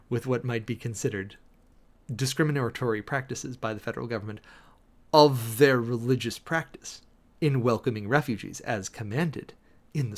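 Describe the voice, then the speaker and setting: Animated, gravelly American man reading dramatically. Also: medium pitch. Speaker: streamer, preacher host. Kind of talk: dramatic reading of documents with close analysis.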